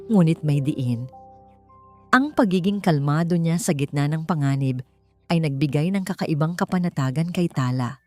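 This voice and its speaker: A woman's voice: deep voice, darkish tone. A woman with a Filipino accent reading a scripted, dramatic story as its narrator.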